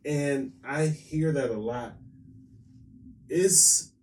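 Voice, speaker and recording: A man's voice: fairly high pitch, extremely slow, a little mellow, a little hiss or hum. An American man co-hosting a podcast, bantering and telling stories in a blunt, slangy, profane and joking way. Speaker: podcast co-host. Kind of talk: casual storytelling banter. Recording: roomy echo, distant from mic.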